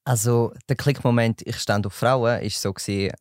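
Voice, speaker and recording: A young man from St. Gallen with a Swiss German accent, a podcast guest chatting in a relaxed, joking way. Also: medium pitch, moderate pace, dry recording, clean studio sound. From St. Gallen, Switzerland. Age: young adult. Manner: relaxed, joking, laughs while talking. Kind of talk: casual podcast chat.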